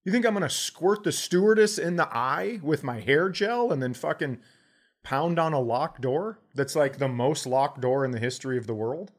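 A man's voice: on the high side, expressive, normal speed. A man telling stories in a casual comedic way as a podcast host, sarcastic and swearing freely.

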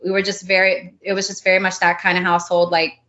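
A woman's voice: fairly low pitch, completely flat delivery, quick pace, pushed and bright. Woman, early 30s, from Miami, Spanish first language, chatty and giggly, slightly muffled audio. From Miami, United States. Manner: chatty, giggly, many likes and fillers. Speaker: podcast guest. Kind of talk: casual interview chat.

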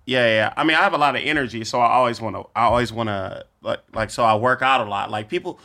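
A man's voice: mid-range voice, fast talker, pushed and bright. A young adult man, a comedian guest, chatting casually in a laid-back, slangy, rambling way.

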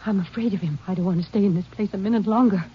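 An actress playing a frightened, distraught rancher's wife, pleading and suspicious, in an old-time radio western. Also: female voice, medium pitch, very fast, slightly rough. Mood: desperate pleading. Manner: overwrought, pleading delivery. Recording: muffled vintage radio recording.

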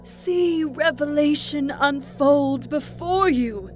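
A woman voicing an eerie, zealous pregnant patient who mocks her nurse in a slow, sing-song voice. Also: sits high, soft background music, voice pushed up. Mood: gleeful fervor. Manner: slow, sing-song, mocking religious fervor.

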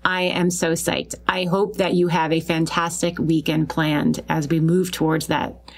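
A woman's voice: sits low, flat delivery, a little slow. A woman with a Long Island New York accent hosting a podcast in an enthusiastic, chatty way. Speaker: podcast host. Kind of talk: upbeat podcast introduction and interview.

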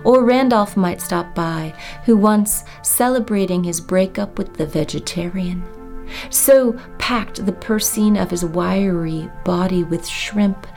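Female poet, expressive verse reading, very slow and deliberate, savored phrasing, music underneath. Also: on the low side. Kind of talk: expressive poetry reading of own work.

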